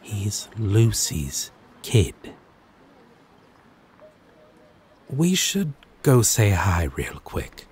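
Slow, low-voiced flirty demon played by a man. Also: wildly swooping pitch, a little mellow, a little hiss or hum, full character voice. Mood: playfully defensive. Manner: teasing, halting, dramatic delivery. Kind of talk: ASMR romance roleplay acting.

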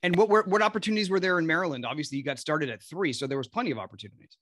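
A man's voice: a little high, rapid-fire, a bit bright, no room sound, clean studio sound. A man in his forties co-hosting a podcast, asking chatty, rambling questions in a casual style. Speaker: podcast co-host, interviewer. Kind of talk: casual interview chat. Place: small room indoors, video call. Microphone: desk microphone close up, video call.

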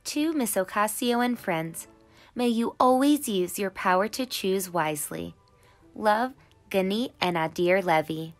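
A young adult woman narrating a picture book for children in an animated, expressive and carefully enunciated way. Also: mid-range voice, measured pace, soft background music. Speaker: children's read-aloud storyteller.

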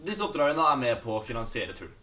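A man's voice: medium pitch, very animated, pushed and bright. A young man presenting a vlog in a casual, upbeat way, cursing for emphasis. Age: young adult. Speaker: vlogger.

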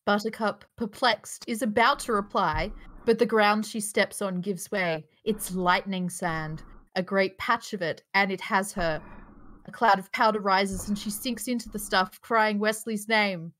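A woman's voice: medium pitch, steady tone, measured pace, a bit bright, rough recording. A woman reading stage directions as narrator of a table read on a group video call, in a dramatic storytelling manner. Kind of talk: scripted narration read aloud.

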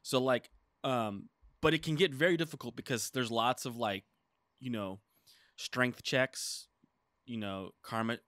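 A man's voice: medium pitch, measured pace, dry recording. A man in his thirties co-hosting a gaming podcast, talking casually and rambling with lots of filler words, on a desk mic in a small studio room. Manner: rambling, many "like" fillers, animated retelling. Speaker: podcast co-host. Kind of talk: casual chat. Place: small studio room with dark curtain backdrop. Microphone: desk mic on boom arm.

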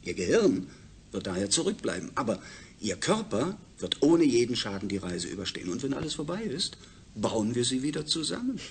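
Formal, lecturing project chief, faint noise. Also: male voice, medium pitch, sing-song, fairly quick, gravelly. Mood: assured, explanatory. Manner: formal, explanatory. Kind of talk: German science fiction radio drama acting.

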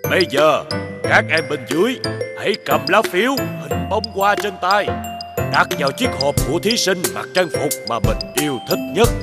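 A man's voice: a little high, lively intonation, moderate pace, close to an everyday voice. A man voicing an encouraging schoolteacher who makes formal announcements in a children's cartoon. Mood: announcing. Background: loud music.

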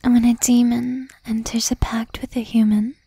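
A woman acting as a jealous, doting young demon girlfriend in a soft, breathy ASMR whisper. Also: on the high side, level tone, dry recording, exaggerated voice. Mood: possessive, wounded. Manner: slow, intimate, menacing yet doting. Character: jealous, possessive young female demon girlfriend, shy, tender.

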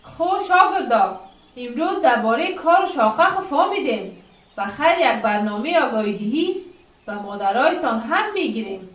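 An earnest, firm village schoolteacher, acted by a woman in a radio drama. Her fairly high voice moves up and down as she explains, heard from a distance in an echoey space. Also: sing-song, a bit bright. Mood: kindly firm. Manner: didactic, explanatory. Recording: echoey room, distant from mic.

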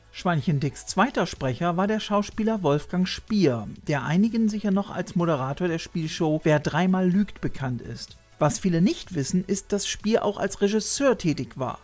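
A man hosting a retro video show in a room full of collectibles, joking about himself and reading his facts in a casual way. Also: a little high. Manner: jokey, self-mocking. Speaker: host. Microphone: studio mic on arm.

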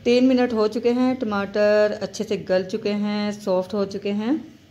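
A woman hosting a home cooking channel, calmly narrating each recipe step and repeating key points. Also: medium pitch, measured pace. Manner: steady, instructive, repeats steps.

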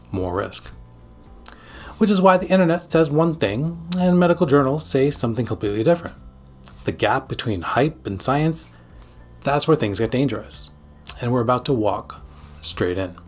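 A man in his forties, a psychiatrist hosting a scripted explainer to camera with emphatic, dramatic phrasing and a slightly husky voice. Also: mid-range voice. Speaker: psychiatrist and video host. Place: small home studio room. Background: background music.

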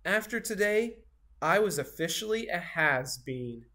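A storybook reader giving an expressive, theatrical children's read-aloud with dramatic pauses. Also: medium pitch. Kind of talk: animated children's read-aloud, scripted narration.

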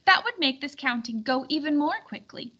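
A woman's voice: high-pitched, expressive, normal speed, pushed and bright, dull audio, voice pushed up. A woman voicing a harried, fretful medieval lady planning a feast in a storybook. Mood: working it out. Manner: exclaiming, fretful.

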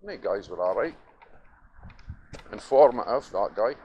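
A man's voice: on the low side, expressive, measured pace, a little mellow, slightly rough, lo-fi sound. A Scottish man muttering a rambling, sweary, casual commentary as he walks along outdoors, filming on a handheld device. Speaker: vlogger. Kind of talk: casual walking commentary, thinking aloud. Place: outdoors on a city street. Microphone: handheld camera or phone held by speaker. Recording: noisy outdoor street with traffic and roadworks.

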